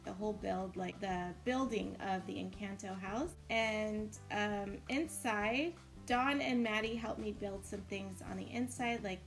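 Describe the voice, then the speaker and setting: A woman's voice: mid-range voice, poor audio. A woman giving casual, encouraging commentary as host of a game build tour.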